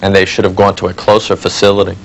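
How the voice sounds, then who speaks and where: Low creaky voiced guy talking to a news crew, slight background noise. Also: monotone, quick pace, muffled recording. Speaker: news interviewee. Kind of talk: spontaneous interview soundbite.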